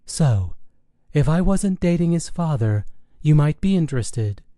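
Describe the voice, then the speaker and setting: A man's voice: a little high, very animated, unhurried, dark tone, dry recording, pitched above the performer's own voice. A man voicing a wry, knowing mother in an audiobook, with a dry, teasing manner. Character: wry, knowing mother gently teasing her teenage daughter. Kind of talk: audiobook character dialogue.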